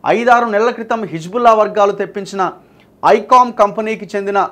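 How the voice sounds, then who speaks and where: Male news presenter, Telangana accent, high register, emphatic explanatory delivery. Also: brisk, pushed and bright. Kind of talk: news reading with explanatory commentary.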